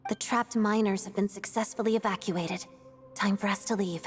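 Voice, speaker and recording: A woman playing a composed young female soldier and ballerina in a video game, speaking in a measured, courteous, clipped way. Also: medium pitch, fairly even intonation, faint music behind, close to an everyday voice. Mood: grave, commanding.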